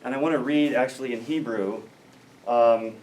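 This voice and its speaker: A man's voice: medium pitch, normal speed, darkish tone. American man lecturing in an echoey room. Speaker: academic lecturer in biblical studies. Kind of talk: scholarly lecture. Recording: roomy, distant mic with faint noise.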